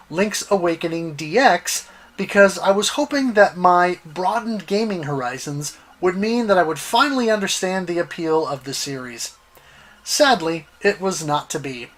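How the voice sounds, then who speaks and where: A middle-aged man with a fairly high voice delivers scripted personal narration as a show host. His delivery is deliberate and theatrical, leaning on emphatic pauses. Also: lively intonation, a bit bright. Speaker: host of a retro gaming series.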